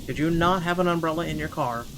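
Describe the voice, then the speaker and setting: A man's voice: fairly high pitch, fast, background noise. A man reading a first-person story aloud dramatically as a gaming host, breaking off for blunt, sweary reactions. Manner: expressive, sweary commentary between read passages.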